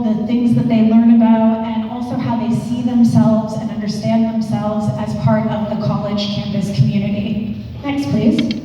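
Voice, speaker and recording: A woman lecturer talks through her slides in a measured, explanatory voice, a little high for a woman. She sounds distant, with a long echo trailing off the walls of a big hall. The recording is dull, with a faint rumble underneath. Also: dark tone. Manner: measured, explanatory, with frequent um fillers and restarts. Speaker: guest lecturer.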